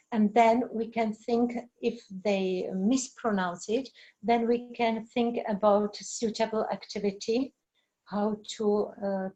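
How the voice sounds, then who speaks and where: Slow-speaking Czech woman teacher. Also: mid-range voice, mellow, poor audio. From Czech Republic. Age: middle-aged. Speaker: language teacher giving a webinar. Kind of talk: informal lecture with explanations and answers.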